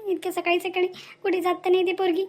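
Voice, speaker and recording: A woman's voice: extremely high pitch, monotone, fast, darkish tone. A woman voicing an overworked, grumbling village mother in a cartoon, with a folksy Vidarbha Marathi accent. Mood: worried. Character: overworked, fretful village mother of a grown daughter. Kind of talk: amateur cartoon dialogue.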